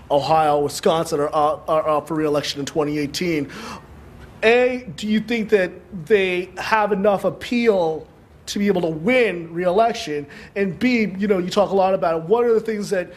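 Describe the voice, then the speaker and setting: A male journalist with a raspy, hoarse voice, asking a long, halting question at a press event. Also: high voice. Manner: halting, restarts mid-question.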